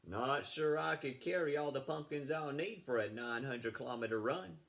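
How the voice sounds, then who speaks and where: A man's voice: medium pitch, moderate pace, gravelly, a bit bright. A man reading a storybook, voicing a modest, folksy old sheep rancher. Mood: modest, easygoing. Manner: folksy, plain-spoken. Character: lanky, modest old sheep rancher who loves running.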